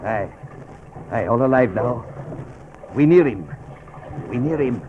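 An actor playing an obsessed, commanding old whaling captain in a gravelly voice, with theatrical, archaic oratory in a classic radio drama style. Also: male voice, medium pitch, expressive, slow, narrow, tinny audio, slight background noise. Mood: defiant, obsessed. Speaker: ship's captain.

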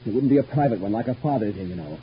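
A man's voice: fairly low pitch, expressive, quick pace, close to an everyday voice. An actor playing a disturbed, bitter, suspicious man in an old radio soap, pressing with insistent questions. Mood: agitated, bitter. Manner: agitated, probing with insistent repeated questions. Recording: dull, noisy old radio recording.

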